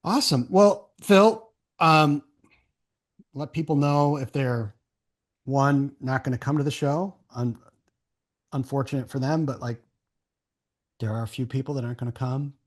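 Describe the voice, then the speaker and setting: A man's voice: medium pitch, measured pace, long pauses. A man hosting a casual interview, riffing enthusiastically in rambling thoughts and often checking in with the listener. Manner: thinks aloud in rambling, enthusiastic riffs, often checking with "right?". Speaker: podcast host and interviewer.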